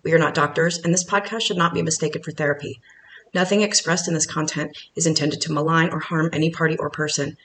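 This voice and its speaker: An announcer with a mid-pitched voice delivers scripted narration. The wording is formal and read out as a show's opening notice. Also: steady tone, fast talker, a bit bright, slight background noise. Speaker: announcer reading podcast disclaimer.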